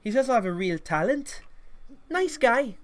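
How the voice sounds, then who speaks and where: A man's voice: very high-pitched, very animated, normal speed. A man playing a laid-back, good-natured young storyteller in a comedy fantasy audio drama, rambling and sweary in colloquial talk. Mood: relaxed, chatty.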